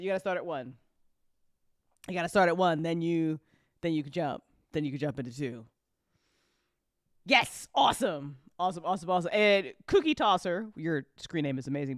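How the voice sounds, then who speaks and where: A woman's voice: mid-range voice, no room sound, studio quality. A woman hosting a live after show in casual chat, informal and repeating phrases for emphasis. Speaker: host of an audio drama after show. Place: close indoor mic on a live stream.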